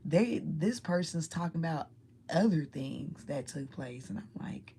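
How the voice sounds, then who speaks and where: A woman telling a story to her viewers in a soft, low whisper, rambling and using casual slang. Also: animated, measured pace, husky. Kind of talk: whispered ASMR-style storytelling.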